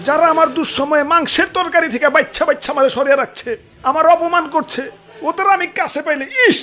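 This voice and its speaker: A woman's voice: high voice, fairly even intonation, fast, sharp tone. A woman playing a boastful, touchy potato king in a cartoon, scolding pompously with a Bangladeshi accent. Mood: vengeful. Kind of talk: comic cartoon acting.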